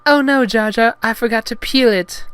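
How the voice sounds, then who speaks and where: A narrator voicing a kind, flustered, shy young woman in a gentle, earnest manner for a parody story. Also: medium pitch, normal speed, smooth, pitched above the performer's own voice, natural voice. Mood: embarrassed.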